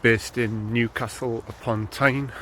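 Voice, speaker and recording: A man's voice: medium pitch, measured pace, slight background noise. A man in his thirties from northeast England, chatting casually and a bit ramblingly while out on a snowy morning run. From North East England. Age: 30s. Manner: relaxed, rambling, lots of ums. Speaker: running vlogger. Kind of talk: casual chat while jogging. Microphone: handheld camera while running.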